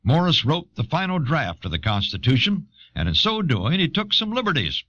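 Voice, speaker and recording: A man reading scripted historical narration in a measured, authoritative manner. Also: fairly high pitch, very animated, fairly bright tone, no room sound. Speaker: documentary narrator. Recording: dull old audio cassette.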